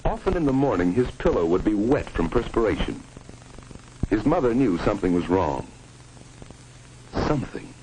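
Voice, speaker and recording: Deep-voiced 1950s educational film narrator, grave man. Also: very animated, normal speed, long pauses, dark tone, slight background noise, rough recording. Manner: grave, stark, dramatic delivery. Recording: vintage 1950s film soundtrack with music.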